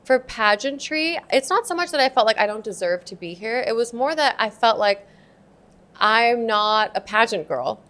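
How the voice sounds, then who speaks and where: A woman's voice: fairly high pitch, lively intonation, moderate pace, fairly bright tone. A young woman from Pakistan, a podcast guest, talking in a reflective, casual way and switching between English and Hindi-Urdu. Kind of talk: casual interview chat.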